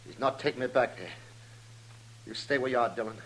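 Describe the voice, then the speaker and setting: Actor as a husky, jumpy young outlaw. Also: male voice, mid-range voice, a little fast, a bit bright, lightly acted, poor audio. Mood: desperate, threatening. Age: 30s. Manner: nervous, stammering. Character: jumpy, desperate wounded gambler outlaw, about thirty. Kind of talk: 1950s radio western acting.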